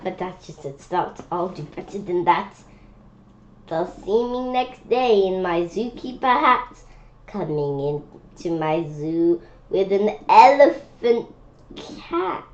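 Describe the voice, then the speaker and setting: Slow, halting woman reading aloud, slightly muffled. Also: on the low side, expressive, darkish tone. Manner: halting reading with asides and wondering questions. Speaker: read-aloud presenter. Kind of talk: children's picture book read-aloud with spontaneous comments. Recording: dull lo-fi sound with faint noise.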